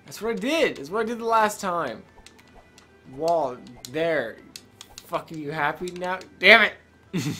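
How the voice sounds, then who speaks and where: Animated, bright-voiced man gaming. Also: on the high side, unhurried, long pauses, faint music behind. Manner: sweary, offhand reactions. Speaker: let's play co-host playing the game. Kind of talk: casual gaming commentary banter.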